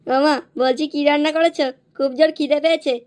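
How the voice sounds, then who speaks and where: A woman's voice: high voice, fairly even intonation, fast talker, a little mellow, lightly acted. A woman voicing a cheeky, offhand teenage schoolboy in a comedy cartoon. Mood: casual. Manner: casual, offhand.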